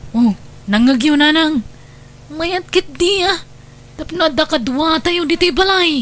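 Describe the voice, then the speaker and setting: A woman plays a grown daughter in an audio drama, high in pitch and firm in delivery. She has an Ilocano accent and sounds devoted, defiant and insistent. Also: animated, unhurried. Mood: caring.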